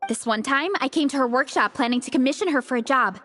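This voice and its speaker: A woman voicing a cheerful, encouraging young comic fan in a video game, animated and upbeat. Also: high-pitched, fast talker, long reverb tail, slight background noise. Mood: excited reminiscing.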